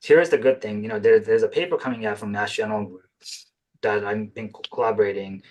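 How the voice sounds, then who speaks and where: A man's voice: lowish voice, fairly even intonation, fast talker, low-quality recording. A male physician presenter answering questions on a video call, tentative and full of hesitations. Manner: tentative, many fillers and restarts. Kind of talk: webinar Q&A answers.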